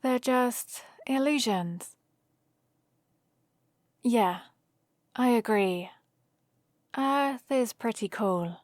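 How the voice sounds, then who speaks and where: A woman's voice: fairly high pitch, very slow, pauses often. A nerdy young adventuring heroine, played by a woman, chats to the listener in an ASMR roleplay. She rambles cheerfully and explains things in a friendly way, taking her time. The sound is dry and free of room echo. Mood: amused, soft.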